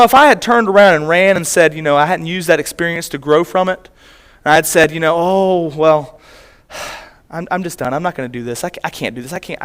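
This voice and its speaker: High-voiced man preaching a personal story. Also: fairly bright tone. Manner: conversational storytelling, repeats and restarts phrases. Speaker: missionary preacher. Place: church sanctuary.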